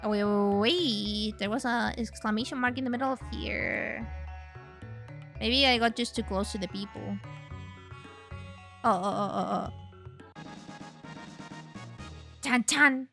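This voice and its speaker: A woman from Chile chatting casually and excitedly while streaming a game, with the odd swear. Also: on the high side, measured pace, creaky, long pauses, faint music behind. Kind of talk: casual gaming livestream chat.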